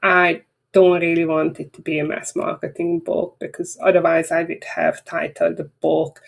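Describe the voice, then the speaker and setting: Chatty lower-voiced Hungarian-accented woman. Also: flat delivery, normal speed, vocal fry, husky, poor audio. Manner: chatty, rambling, frank and self-deprecating. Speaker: marketing webinar presenter. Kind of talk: casual webinar wrap-up talk.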